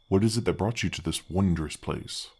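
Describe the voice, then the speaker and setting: Man voicing a gentle, very deep-voiced gargoyle. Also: husky. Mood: warmly welcoming. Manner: halting, friendly, reassuring, chatty. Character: gentle, helpful male gargoyle, ancient temple guardian. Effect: deep voice effect. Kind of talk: ASMR fantasy roleplay.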